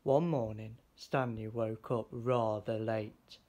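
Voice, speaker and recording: A man reading a children's picture book aloud in an expressive storytelling style. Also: fairly low pitch, slow. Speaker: storybook reader.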